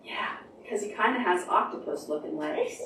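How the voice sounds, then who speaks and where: A woman's voice: mid-range voice, animated, moderate pace, a bit bright, whispered. A woman casually and patiently explaining things to a young child in simple, short phrases. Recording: echoey, noisy room, far from mic.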